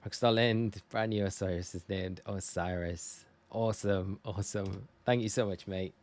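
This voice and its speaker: A man's voice: fairly low pitch, fairly even intonation, moderate pace. A man from northeast England with a northern English accent, chatting casually and informally to viewers as a live game streamer. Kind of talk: casual livestream chat while gaming.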